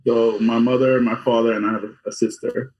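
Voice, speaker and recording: A man's voice: mid-range voice, little pitch movement, brisk, a little mellow. A man in his forties from Guyana, a doctor telling his life story in a plain, matter-of-fact way as an interview guest. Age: 40s. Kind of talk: casual interview answers. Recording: dull lo-fi audio.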